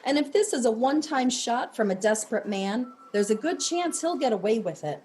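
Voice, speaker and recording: A woman's voice: fairly high pitch, animated, brisk, darkish tone, a little hiss or hum, lightly acted. A woman playing a blunt, matter-of-fact police detective with dry delivery in an audio drama.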